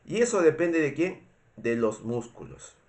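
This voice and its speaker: A man's voice: mid-range voice, big pitch swings, measured pace, a little mellow. Congested Peruvian man teaching. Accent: Peruvian Spanish. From Peru. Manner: didactic, repetitive, frequent checking questions. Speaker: medical instructor. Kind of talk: informal explanatory lecture.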